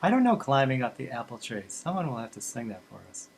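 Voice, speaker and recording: A man's voice: medium pitch, big pitch swings, fast, vocal fry, a little hiss or hum. A man from Boston with a Boston accent, leading a family folk music workshop on a video call with easy laughs and lots of fillers. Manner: chatty, laughs easily, many fillers. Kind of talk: casual online workshop talk.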